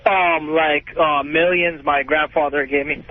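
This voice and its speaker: A man's voice: on the high side, steady tone, a little slow, slight background noise. Stammering man on a tinny phone line. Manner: stammering, questioning, unsure. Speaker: radio show caller. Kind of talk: hesitant casual phone chat.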